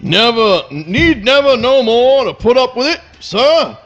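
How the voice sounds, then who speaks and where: High pitch, drawn-out animated delivery, florid fawning hound dog, grandiose radio play style. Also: male voice, muffled recording, soft background music, full character voice. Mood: fawning devotion. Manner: florid, grandiose, repeats himself. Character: melodramatic, fawning, overeager male hound dog. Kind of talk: live radio play acting.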